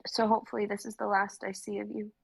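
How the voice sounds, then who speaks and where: Bitter young female antagonist played by a woman for fantasy reading, cold, controlled, little pitch movement. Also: level tone, fast, gravelly, breathy edge. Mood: vengeful. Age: young adult. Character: bitter, vengeful young woman, former friend turned foe.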